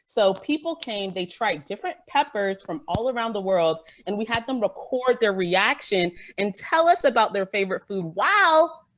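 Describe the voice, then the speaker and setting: A woman's voice: medium pitch, expressive, normal speed. A woman speaking as a guest podcaster on a panel, talking in an enthusiastic, emphatic way and often checking in with "right?". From West African immigrant family. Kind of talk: lively panel talk about events. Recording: clear noise with clicking sounds.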